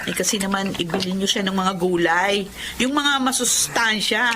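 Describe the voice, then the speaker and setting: A woman's voice: mid-range voice, normal speed, background noise, close to an everyday voice. A woman acting a blunt, reproachful eldest daughter in a Filipino family drama. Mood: insistent, reproachful. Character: bitter, accusing eldest daughter, successful breadwinner.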